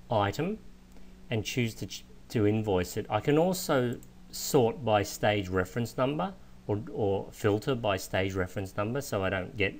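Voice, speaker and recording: Low-voiced man narrating a software tutorial. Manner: explanatory, informal, step-by-step. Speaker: software instructor. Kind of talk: step-by-step screencast tutorial narration.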